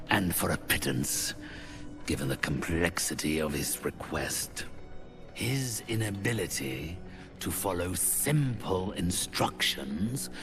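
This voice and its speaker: An actor playing a haughty, cold, mercenary necromancer in a fantasy game, speaking slowly with theatrical condescension. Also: male voice, low voice, creaky, full character voice. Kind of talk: cinematic fantasy game dialogue.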